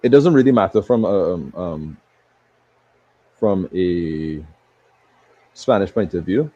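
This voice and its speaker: A man's voice: deep voice, lively intonation, slow pace, dark tone. A man co-hosting a live football stream in a casual chat, laid-back and thinking aloud. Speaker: co-host of a live football watch-along stream. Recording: dull lo-fi stream audio.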